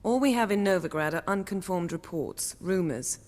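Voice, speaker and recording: An actress playing a composed, assured sorceress in a video game, speaking with a slightly wry edge. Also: female voice, on the low side, expressive, normal speed, darkish tone, long reverb tail, lightly acted. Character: poised, commanding sorceress, adult woman.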